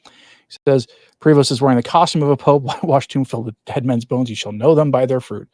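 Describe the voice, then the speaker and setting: A man's voice: medium pitch, fairly quick, darkish tone, no room sound. A man with a mid-range voice delivers opinionated religious commentary as a livestream host. He sounds earnest, with a wry streak in his asides. The recording is dry, with very little room sound. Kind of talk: opinionated commentary, partly reading quoted text aloud.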